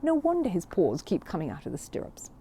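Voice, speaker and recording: A woman's voice: fairly low pitch, huge pitch swings, a little fast, breathy edge, full character voice. A woman voicing a kindly, nervous mother in a children's storybook. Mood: nervous, worried. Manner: polite, gently fretful.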